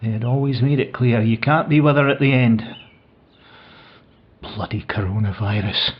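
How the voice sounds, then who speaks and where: A man acts out an older widower delivering a tender audio-play monologue. His voice is husky and breathy, hushed and reflective as he reminisces through his grief. The recording sounds a little muffled and dull. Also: medium pitch, moderate pace, close to an everyday voice. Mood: grieving.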